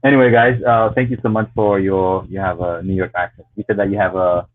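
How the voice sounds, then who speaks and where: A man's voice: fairly low pitch, level tone, fairly quick. A man from Haiti hosting an interview, encouraging and casual, with many short replies. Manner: warm, encouraging, frequent backchannels. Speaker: host. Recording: tinny phone-like line.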